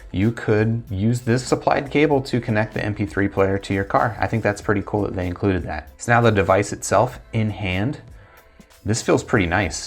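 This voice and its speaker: American man, thirties, low voice, casual product review, clear music behind. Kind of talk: casual unboxing review talk to camera. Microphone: lapel mic.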